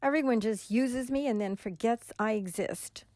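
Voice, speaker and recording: A woman's voice: a little high, moderate pace, a little mellow, no room sound, lightly acted. A woman playing a frightened, lonely young woman, anxious and pleading in a radio drama.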